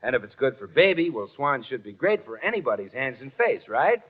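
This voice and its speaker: A man's voice: medium pitch, sing-song, fairly quick. An American man announcing on 1940s radio with an upbeat salesman's delivery. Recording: muffled, hissy 1940s radio broadcast.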